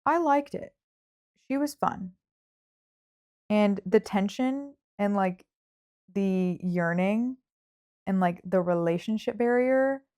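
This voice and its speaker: A woman in a relaxed, informal book conversation. Her pitch sits in the middle of the range. She hedges her opinions and peppers her sentences with "like," as a podcast host talking to a friend would. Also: slow pace, long pauses. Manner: informal, hedging, frequent "like". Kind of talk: casual book chat.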